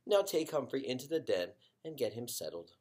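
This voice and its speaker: A man voicing a busy mother of four, speaking in a brisk, firm, motherly way in a read-aloud storybook. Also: rumbling low, sing-song, a little mellow, breathy edge, heavily acted.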